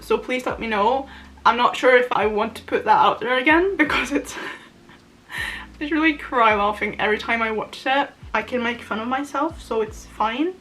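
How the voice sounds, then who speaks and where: A young Norwegian woman with a fairly high voice chats in English into the camera while she does her make-up. She rambles freely, laughs often and fills her sentences with "like". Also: fairly bright tone, poor audio. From Norway. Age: young adult. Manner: rambling, giggly, lots of "like". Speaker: booktuber. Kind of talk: chatty get-ready-with-me vlog. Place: at home, doing her make-up.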